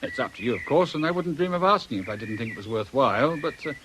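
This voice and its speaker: A man playing a brisk, sceptical police inspector in a radio drama, with a British accent and a dry, colloquial manner. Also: mid-range voice, lively intonation, a bit bright, slight background noise, lightly acted. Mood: brisk, persuasive. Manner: dry, matter-of-fact, colloquial.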